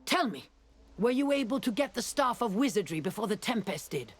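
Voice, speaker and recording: A woman's voice: mid-range voice, sing-song, a little fast. An actress playing a dreamy, eccentric female mage in a video game, with a musing, theatrical delivery. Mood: breezy, pleased. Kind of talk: video game character acting.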